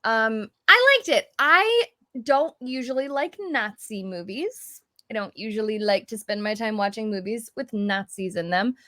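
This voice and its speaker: Chatty woman on a podcast, bright voice, dry close sound. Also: a little high, expressive, measured pace, no echo. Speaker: podcast panelist. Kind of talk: casual podcast chat.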